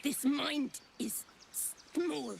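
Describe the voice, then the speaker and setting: A possessed woman in a video game, speaking for a frantic hive mind. Her voice is creaky and extremely slow, in halting, urgent, cryptic bursts, with clear ambient noise behind. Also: high-pitched, full character voice. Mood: urgent, strained. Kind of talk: dramatic video game acting.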